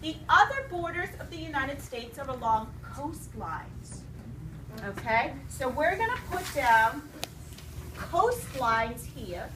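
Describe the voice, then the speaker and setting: A high-pitched woman's voice carries across an echoey classroom, with clear noise around it. She teaches young students, putting questions to them and repeating their answers as she goes. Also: big pitch swings, takes it slowly. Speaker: elementary school teacher. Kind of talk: interactive classroom read-aloud lesson. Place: indoor school classroom. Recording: roomy, distant, noisy classroom recording.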